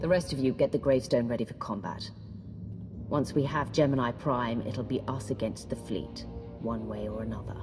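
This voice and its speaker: A woman playing a commanding, wary Sith warrior in a game, authoritative and measured. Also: extremely low pitch, loud music behind the voice. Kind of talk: scripted game cutscene dialogue.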